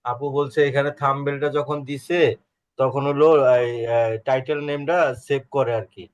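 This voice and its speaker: A man in a casual online class discussion, talking in a halting way and repeating word starts. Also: medium pitch, steady tone. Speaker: class participant.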